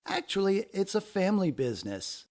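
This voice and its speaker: A man narrating a children's story aloud in an expressive, deliberate way with dramatic phrasing. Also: high voice.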